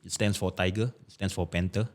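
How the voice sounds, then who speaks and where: A man's voice: low voice, steady tone, brisk, a little mellow. A man co-hosting a podcast, chatting casually and telling jokey stories with lots of fillers. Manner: chatty, joking, filler-heavy storytelling. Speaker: podcast co-host. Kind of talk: casual chat.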